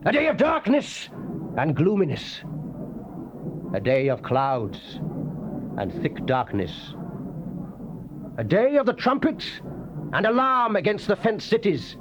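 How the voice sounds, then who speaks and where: An actor playing a fiery prophet proclaiming God's wrath in solemn, archaic, declamatory scripture style. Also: male voice, high-pitched, huge pitch swings, slow, pauses often, heavily acted. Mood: solemn, urgent. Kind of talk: dramatized scripture reading, archaic King James English. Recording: muffled, noisy old cassette tape.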